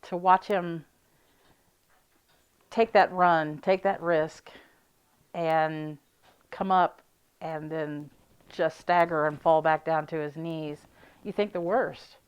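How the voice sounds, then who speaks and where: A woman speaking as an interviewed football fan, in a conversational, unhurried and reflective way. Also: sits low, steady tone, clear, resonant tone, dull audio.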